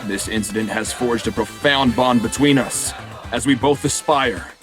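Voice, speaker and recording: An actor playing a smooth-talking politician who gives interviews and campaign speeches in a game. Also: male voice, mid-range voice, moderate pace, soft background music, close to an everyday voice. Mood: composed. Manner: rousing, oratorical. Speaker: election candidate. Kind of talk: game cutscene voice acting.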